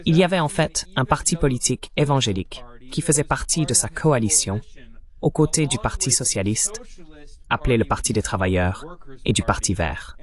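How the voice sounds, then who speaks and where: A man delivering a voice-over translation of political commentary in a measured, explanatory style. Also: medium pitch, animated.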